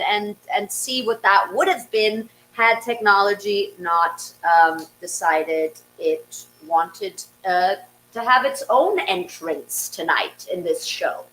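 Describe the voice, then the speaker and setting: A woman's voice: fairly high pitch, bright, forceful tone, low-quality recording. A woman hosting a variety show in a casual, effusive, exclamatory way. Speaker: host of a livestreamed variety show.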